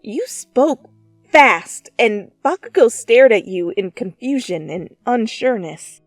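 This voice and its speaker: A woman's voice: on the high side, expressive, slow, no room sound. A woman reading fan fiction aloud as a dramatic second-person story narration. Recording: close, dry, music behind.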